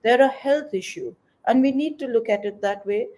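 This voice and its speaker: A mature woman activist gives considered, articulate answers in an interview. Her voice is mellow and soft-edged, pitched a little high, as she explains things point by point. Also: normal speed. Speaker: environmental activist, interview guest.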